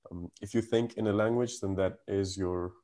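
A man from the Gulf, a guest on a podcast, talking in a thoughtful, measured way with pauses and ums. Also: low voice, steady tone, mellow. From Gulf region. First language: not English. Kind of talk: casual chat.

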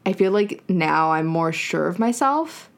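A woman's voice: on the low side, animated, measured pace, vocal fry. A woman turning thirty, co-hosting a podcast in casual, reflective chat that rambles with lots of "like". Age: 30s. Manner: reflective, rambling with many likes. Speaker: podcast co-host.

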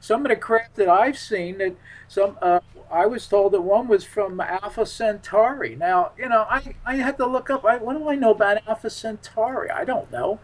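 A man's voice: sits high, moderate pace, rough recording. A man speaking as a guest in a casual radio interview, rambling and emphatic in his manner.

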